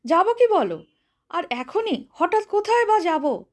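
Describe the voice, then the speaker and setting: A playful young housewife, acted out by a woman reading a story, high in pitch. She is modest and teasing, turning her reluctance into light, joking protest. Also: sing-song, measured pace, exaggerated voice.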